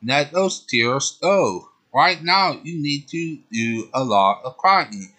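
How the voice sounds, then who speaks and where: A gentle young male hero from a storybook romance, comforting and pleading, as a man puts him on. He speaks softly and slowly, slightly above his usual pitch. The voice is bright and clear-toned. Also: lively intonation, full character voice. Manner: soft, comforting, begging.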